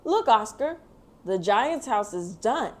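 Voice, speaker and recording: A woman plays a young, caring best friend in a storybook. The delivery is earnest and expressive, often lifting a little in pitch. It is pitched for small children. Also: slow pace. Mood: excited.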